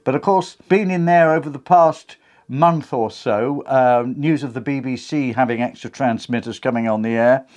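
A man's voice: medium pitch, normal speed, darkish tone. A middle-aged British man in his fifties, hosting a casual talk to camera about radio, recorded on a lapel mic in a small home studio. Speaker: video host. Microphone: clip-on lapel mic.

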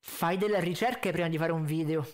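A man's voice: fairly high pitch, brisk, a little hiss or hum. A man in his twenties doing critical reaction commentary into a close desk microphone, blunt, pedantic and sarcastic. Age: 20s. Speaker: reaction video host. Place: indoor home streaming setup. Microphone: close desk condenser mic with pop filter.

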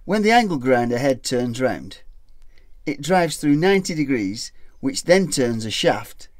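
A man at an ordinary middle pitch explains his homemade project in a plain, matter-of-fact way. He talks step by step in a garage workshop. The sound is caught on a phone camera he holds while he works. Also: very animated, unhurried, no room sound, clean studio sound. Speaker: hobby boat builder. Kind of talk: casual DIY explanation.

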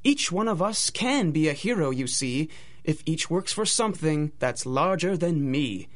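A man's voice: a little high, animated, a little slow. A man narrating a radio drama in theatrical, sing-song rhyming storybook verse.

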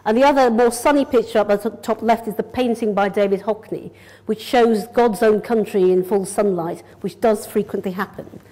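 A woman's voice: mid-range voice, moderate pace, warm tone, rough recording. Direct middle-aged woman giving a lecture. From Yorkshire, England. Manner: direct, organised, conversational. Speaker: consultant nephrologist. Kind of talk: public lecture. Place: lecture room, indoors. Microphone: lectern mic.

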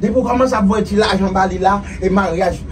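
A man's voice: high voice, a little fast, background noise. A man with a Haitian accent playing a nervous man on his first ride, moaning and calling on Jesus in broken English, recorded in a cable-car cabin. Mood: fearful. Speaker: actor in a comedic gospel drama. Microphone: camera across cabin. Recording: distant camera mic.

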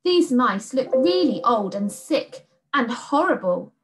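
A woman voicing a brave, quick-thinking young girl who bossily talks a beast out of his recipe. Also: fairly high pitch, sing-song, voice pushed up. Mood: persuasive. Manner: bright, bossy, persuasive.

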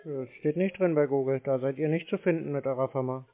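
A man's voice: mid-range voice, quick pace. A man in a casual phone conversation, blunt and skeptical, persistently questioning the caller. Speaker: scam-baiting call recipient. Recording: narrow tinny phone-like audio.

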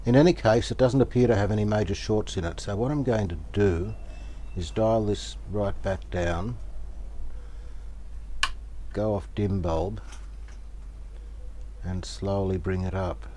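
A man giving casual, unhurried commentary as he works, thinking aloud. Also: lowish voice, mellow. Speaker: host, radio restorer. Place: small cluttered indoor workshop. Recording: clear background noise, home workshop.

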